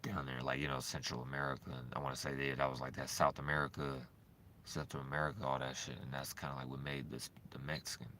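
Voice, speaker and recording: A man vlogging in a loose, profane, rambling monologue, swinging between animated bursts and low mumbling. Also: quick pace, a little hiss or hum. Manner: loose, profane and repetitive, full of "you know what I'm saying".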